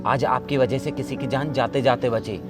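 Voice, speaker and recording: An actor playing a stern resident who scolds a careless driver in a short drama skit. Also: male voice, medium pitch, fairly even intonation, moderate pace, over music, lightly acted. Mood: scolding. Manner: scolding and lecturing.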